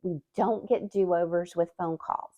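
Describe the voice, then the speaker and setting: A woman hosting her own channel as a communication coach, giving a scripted, expressive talking-to-camera explainer with emphatic rises in her delivery. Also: on the low side, unhurried. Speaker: YouTube host and communication coach.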